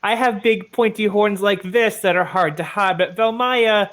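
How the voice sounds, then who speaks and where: A man playing an online tabletop game, talking casually in and out of character in a hesitant, halting way. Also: sits high, fairly bright tone.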